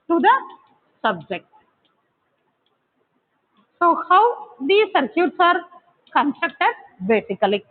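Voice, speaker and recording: Lady teaching technical stuff, pretty high voice, chopped up phrases, thin phone quality audio. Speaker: lecturer. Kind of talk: explanatory technical lecture. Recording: tinny, phone-like narrowband audio.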